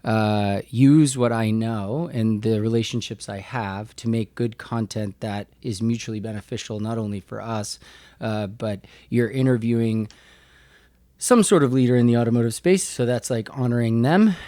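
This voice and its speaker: A man talking casually as a podcast guest, informal and halting as he works through his thoughts. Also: medium pitch, dark tone, no room sound. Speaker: podcast guest, business owner. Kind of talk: casual conversational interview.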